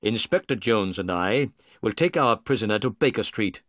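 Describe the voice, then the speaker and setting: A man playing a calm, clever detective. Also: on the low side, normal speed, dry recording.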